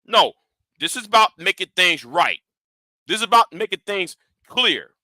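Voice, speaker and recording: A man with a high, piercing, tense voice launches into a confrontational rant on his own channel, swearing and taunting his listeners. He keeps repeating words and restarting phrases as he pushes his point. The sound is dry and close, with no room echo. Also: expressive, moderate pace, sharp tone. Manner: combative, profane, taunting. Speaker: channel host.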